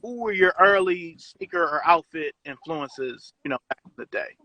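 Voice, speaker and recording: A man's voice: high-pitched, wildly swooping pitch, moderate pace, creaky, sharp tone, dry recording, rough recording. A man of about forty hosting a casual interview in an informal, slangy, teasing way. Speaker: interview host.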